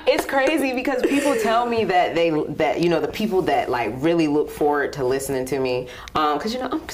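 A woman hosting her own podcast, relaxed and candid, rambling easily through her thoughts. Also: sits low, brisk, crowd noise. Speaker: podcast host. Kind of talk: casual podcast conversation.

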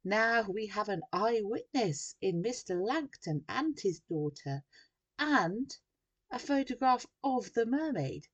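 A woman voicing a pushy, snappy female TV news reporter in a storybook, with a forceful delivery. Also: a little high, lively intonation, takes it slowly, dull audio, pitched above the performer's own voice. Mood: bright, on air. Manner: forceful, over-bright on-air delivery.

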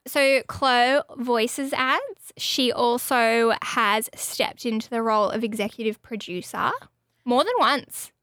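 High-pitched, bubbly woman host. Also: steady tone, takes it slowly, a bit bright, no echo, studio quality. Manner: bubbly and chatty, laughs often. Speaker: podcast host. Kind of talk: casual chat. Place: radio studio.

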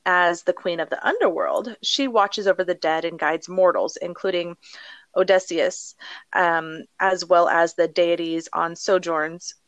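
A woman's voice: lowish voice, fairly even intonation, unhurried, fairly bright tone, no echo. A woman co-hosting a casual podcast, reading out notes with frequent giggles. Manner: giggly, reads notes aloud. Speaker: podcast co-host. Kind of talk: casual chat.

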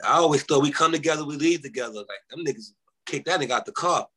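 A man on a live panel chimes in quickly with excitable comments. His voice is bright and tense and a bit high for a man, and he talks fast. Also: breathy edge, rough recording. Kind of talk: casual live online panel chat.

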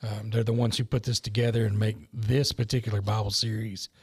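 A man's voice: fairly low pitch, flat delivery, fairly quick, husky. A man co-hosting a church podcast, tired and wry, with dry jokes. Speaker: podcast co-host. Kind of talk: casual church podcast chat.

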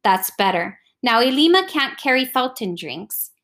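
Brief: woman performing older Hawaiian uncle, Pidgin accent, gruff, teasing, commanding. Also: on the high side, sing-song, moderate pace, fairly bright tone, voice pushed up. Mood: bossy. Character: bossy, caring older Hawaiian uncle, man. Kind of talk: audiobook character voice.

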